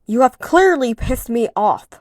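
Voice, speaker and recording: A woman's voice: a little high, expressive, measured pace, darkish tone, dry recording, soft background music. A synthetic female voice playing an angry, menacing young killer with stilted, threatening delivery. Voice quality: synthetic text-to-speech voice.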